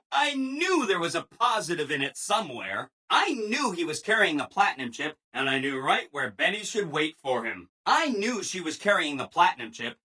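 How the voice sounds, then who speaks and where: An actor playing a relentlessly cheerful, eager-to-please helper robot in a video game, with perky, over-agreeable enthusiasm. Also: male voice, high-pitched, pushed and bright, slightly rough, full character voice. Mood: chipper, boastful. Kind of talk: video game character acting.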